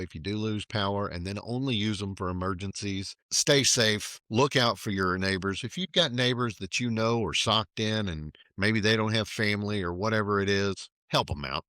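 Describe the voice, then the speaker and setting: Low-voiced man, wry and forceful. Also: no echo, studio quality. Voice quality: naturally low voice. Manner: tongue-in-cheek, conversational. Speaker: host. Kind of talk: wry monologue.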